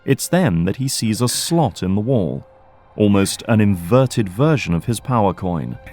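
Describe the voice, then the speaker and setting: Lowish male audio drama narrator. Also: lively intonation, measured pace, a little mellow, soft background music.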